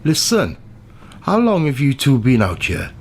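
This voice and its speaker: An actor playing a blunt, chatty, grumbling road worker in a radio play, with colloquial speech. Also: male voice, medium pitch, huge pitch swings, measured pace, slight background noise. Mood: friendly, chatty. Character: matter-of-fact, grumbling working man gritting roads.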